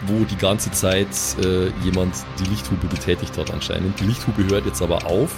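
A man's voice: sits low, fairly even intonation, normal speed, music in the background. A man, improvising as game master in a colloquial, descriptive way. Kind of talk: improvised tabletop game narration.

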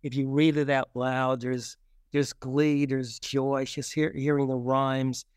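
A man talking as an interview guest and author, telling anecdotes in a halting way with repeated words and restarts. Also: medium pitch, little pitch movement, darkish tone, clear, resonant tone, no echo, studio quality. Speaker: guest, children's book author.

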